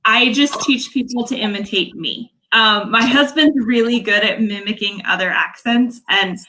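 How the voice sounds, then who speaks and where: A young woman from Utah talks through her work as a language coach in a relaxed livestream interview. Her voice sits a little high and rings bright, and she explains things earnestly, often starting with "so" and "um". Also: normal speed, poor audio. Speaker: English language coach, guest.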